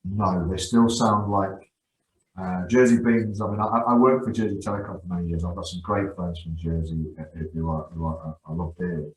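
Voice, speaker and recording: A middle-aged man with a very low voice speaks as a guest on a casual podcast. He is enthusiastic and keeps repeating and restarting his words. His voice comes across with a noticeable room echo. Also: fairly even intonation, normal speed. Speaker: guest, youth football coach. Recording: echoey room.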